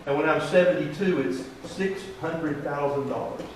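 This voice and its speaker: A man's voice: a little high, moderate pace. A middle-aged man, a financial advisor, giving a folksy classroom presentation and asking the audience questions. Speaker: financial advisor teaching a seminar. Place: large echoey room. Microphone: room mic from a distance. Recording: echoey room, distant mic, faint hiss.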